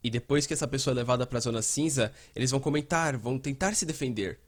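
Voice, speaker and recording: Husky-voiced Brazilian man explaining. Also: medium pitch, fast talker. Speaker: host and fan dubber. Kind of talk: dubbed explainer narration, conversational.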